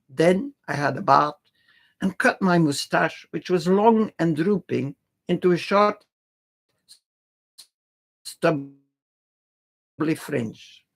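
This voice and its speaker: Someone reading an old first person adventure novel out loud, mid pitched voice. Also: gravelly. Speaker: reader.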